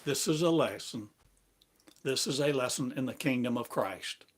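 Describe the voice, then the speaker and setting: A man giving a free-form spiritual sermon, chanting in drawn-out, incantatory phrases for a live stream. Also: medium pitch. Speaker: preacher. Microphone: live stream device.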